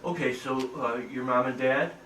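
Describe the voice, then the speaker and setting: A man's voice: medium pitch, normal speed, pushed and bright. An American man asking questions in a casual family interview, with hesitant, loosely phrased wording. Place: echoey room, away from the microphone. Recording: echoey, distant, noisy room.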